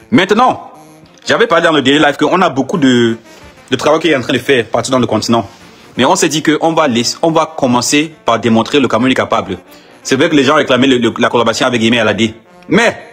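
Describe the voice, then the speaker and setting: A man's voice: mid-range voice, a bit bright, slightly rough. A man managing an artist, speaking live to fans in an emphatic, rallying way with lots of repetition.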